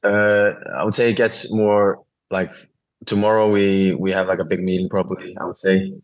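Deep flat-voiced Icelandic man. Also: rough recording. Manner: halting, plain-spoken, many restarts. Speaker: professional footballer guest. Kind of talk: interview answers.

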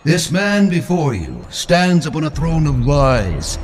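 Self-righteous comic-book villain, highish voice, sweeping pompous speeches, old radio serial with music behind. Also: male voice, sing-song. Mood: menacing, righteous. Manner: pompous, declamatory, measured. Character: pompous preachy male robot-master villain in costume.

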